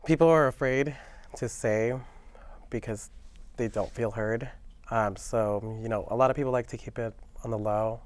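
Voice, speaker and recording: A man appearing as a worker guest in an interview, giving short, hesitant, halting answers. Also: mid-range voice, no room sound.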